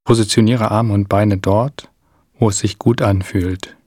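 Male narrator for guided imagery: soothing and unhurried, breathy-husky voice, long pauses, dry studio-like sound. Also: fairly low pitch, fairly even intonation, a little mellow, dry recording. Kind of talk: guided meditation, scripted narration.

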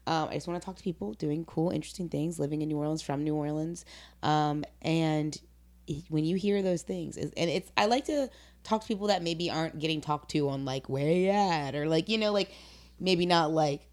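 A woman's voice: low voice, a little fast, a little mellow. A woman hosting a casual interview podcast, chatty and informal, with slangy turns of phrase. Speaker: podcast host.

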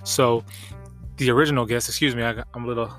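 A man's voice: medium pitch, steady tone, quick pace, fairly bright tone, soft background music. A congested man hosting a podcast in casual, slangy, conversational talk. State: congested from allergies. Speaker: podcast host.

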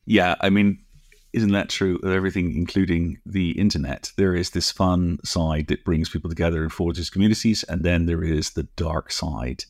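A middle-aged or older British man co-hosting a casual podcast, explaining things in a halting, discursive way with frequent asides. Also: low voice, fairly even intonation, darkish tone, no echo, clean studio sound. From United Kingdom. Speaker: podcast co-host.

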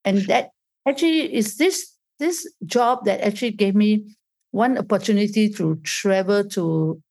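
A woman's voice: mid-range voice, a little slow, a little mellow. A woman, a podcast guest who often restarts her sentences and searches for words, speaking over a laptop video call. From Malaysia, raised in Singapore. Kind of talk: casual interview chat.

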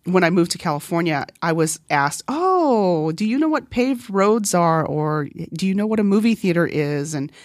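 A woman's voice: on the low side, animated, moderate pace, hardly any pauses, no room sound, clean studio sound. A middle-aged woman from Guam, whose first language is Korean, hosts a podcast and tells a personal story in a chatty way, laughing often. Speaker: podcast host.

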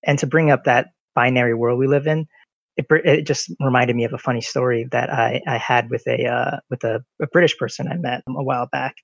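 A man from the United States, a podcast guest telling stories in a relaxed way, with lots of "like" fillers. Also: mid-range voice, a little fast, creaky, dry recording. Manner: relaxed storyteller, many "like" fillers. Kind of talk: casual conversation. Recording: dry, dull lo-fi audio.

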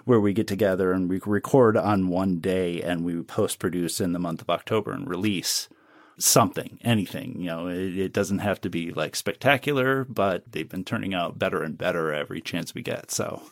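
Deep-voiced middle-aged man, relaxed. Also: moderate pace, no echo, studio quality. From United States. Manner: relaxed, with fillers and trailing asides. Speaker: audio drama producer. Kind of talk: casual interview talk.

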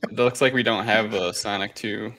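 A man's voice: fairly low pitch, steady tone, moderate pace, a loud crowd. A man co-hosting a podcast in casual, conversational chat. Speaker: podcast co-host.

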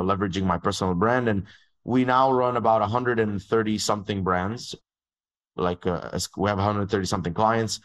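Low-voiced young man, chatty and quick. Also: rough recording. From born in Egypt, grew up in Dubai. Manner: fast, filler-heavy talk with many "like"s, acts out dialogue. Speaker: agency founder, guest. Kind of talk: casual storytelling interview.

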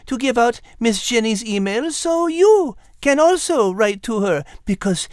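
A woman's voice: on the high side, very animated, unhurried, darkish tone, dry recording, clean studio sound. A woman voicing a polite, prim and clever French cat who co-hosts a children's podcast, speaking daintily with a French accent. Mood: warm, courteous. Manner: polite, dainty, hesitant when flustered. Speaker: podcast co-host character.

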